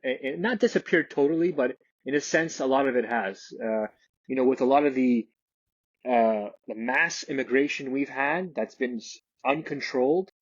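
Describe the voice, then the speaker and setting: A man's voice: medium pitch, normal speed, husky, no room sound, lo-fi sound. A man speaking as a guest in an interview, hesitant and often restarting his sentences. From Canada. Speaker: guest, realtor and investor. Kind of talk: podcast interview conversation.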